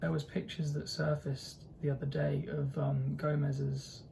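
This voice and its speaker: A man's voice: on the high side, flat delivery, unhurried, gravelly, dark tone, slight background noise. A young man with a posh southern English accent hosting his own football channel, talking casually and hesitantly with lots of fillers and restarts. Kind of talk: casual solo commentary.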